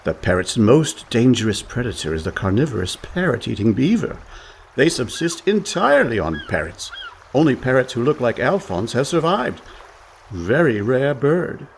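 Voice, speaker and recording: A man acting as a cajoling swindler and older brother in a radio comedy. His pitch swoops dramatically as he talks in a glib, theatrical, breezy way. Faint noise sits behind the voice. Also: big pitch swings, normal speed, vocal fry. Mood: glib, persuasive. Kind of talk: comic radio play acting.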